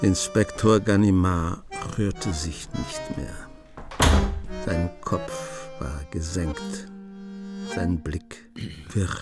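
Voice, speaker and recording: Ein Mann erzählt ruhig und gemessen die Handlung eines Hörspiels. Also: male voice, sits low, over loud music. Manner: calm, measured storytelling. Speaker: narrator. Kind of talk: scripted narration.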